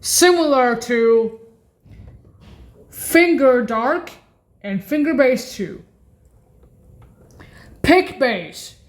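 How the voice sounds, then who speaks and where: A woman rambling through a keyboard sound demo, thinking aloud, halting and repeating herself with questions. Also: sits high, expressive. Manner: halting, repetitive, questioning, often muttering to self.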